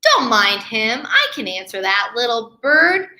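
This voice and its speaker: A woman voicing a friendly mother sled dog who speaks in a lower, easygoing way. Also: very animated, a little slow, pushed and bright, echoey room, heavily acted.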